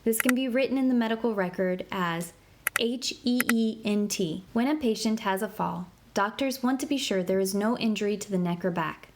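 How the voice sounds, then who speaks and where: A woman narrating an interactive children's book in a clear, explanatory way, slowing down to spell out medical terms. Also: mid-range voice. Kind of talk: scripted read-aloud narration for kids. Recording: clear background noise.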